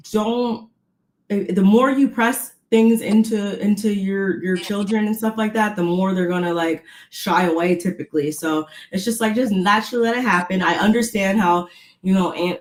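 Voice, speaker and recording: A woman co-hosting a podcast, chatting casually and rambling with lots of fillers. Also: on the low side. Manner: rambling, many fillers and restarts. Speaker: podcast co-host recapping reality TV. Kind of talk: casual chat. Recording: roomy echo.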